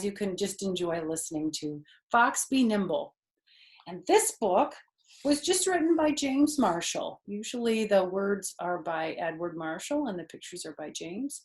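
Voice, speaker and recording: A woman's voice: mid-range voice, a little mellow. An older woman hosting a children's storytime, chatting and explaining things simply to young listeners on a video call from a porch. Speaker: storytime host reading a picture book to children. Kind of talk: children's read-aloud and chat with listeners. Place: outdoors on a back porch. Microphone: computer or device on a video call.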